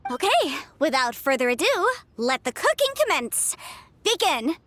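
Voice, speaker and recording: A woman voicing a brisk young female cooking contest host in a video game, with a crisp, showy announcing delivery. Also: high voice, big pitch swings, fairly bright tone, exaggerated voice. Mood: brisk, official. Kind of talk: event announcing.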